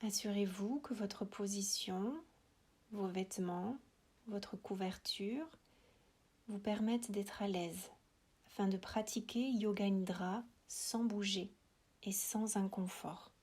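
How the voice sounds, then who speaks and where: A woman with a medium-pitched voice guides a deep relaxation session. Her delivery is very slow and hushed, in short measured phrases with pauses between them. The ends of her phrases often soften into a breathy near-whisper. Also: steady tone. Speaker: yoga nidra relaxation guide.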